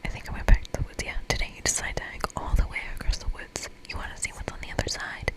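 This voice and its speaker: A woman doing whispered ASMR, reading a video game's narration aloud with informal asides. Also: fast. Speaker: ASMR creator.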